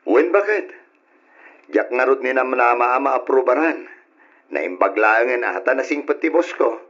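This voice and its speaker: An actor playing a hardworking, family-minded husband who works abroad, in an old-style radio drama with an Ilocano accent. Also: male voice, mid-range voice, expressive, moderate pace, a bit bright, breathy edge. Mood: eager. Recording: dull lo-fi radio sound.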